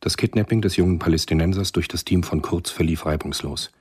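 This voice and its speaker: Very husky, deep male narrator. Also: fairly quick, darkish tone. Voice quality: very husky, rough. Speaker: narrator of a radio play. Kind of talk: scripted thriller narration.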